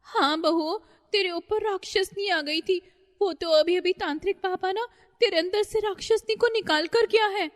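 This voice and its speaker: A woman's voice: normal speed, long reverb tail. A woman voicing a fretful older mother-in-law who panics easily, in a cartoon story. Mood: pleading. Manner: shrill, panicky.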